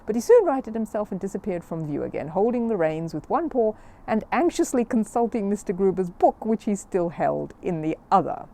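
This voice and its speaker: A woman reading a children's story aloud in an expressive, animated storytelling manner. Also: mid-range voice, moderate pace.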